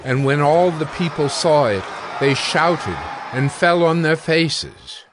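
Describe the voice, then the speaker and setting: A man giving scripted scripture narration in a measured, solemn manner. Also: fairly high pitch, a crowd in the background, background noise. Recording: background music under narration.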